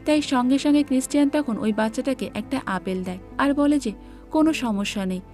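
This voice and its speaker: A woman's voice: high-pitched, fairly quick, darkish tone, soft background music. A woman narrating a scripted movie recap in a plain, explanatory storytelling manner.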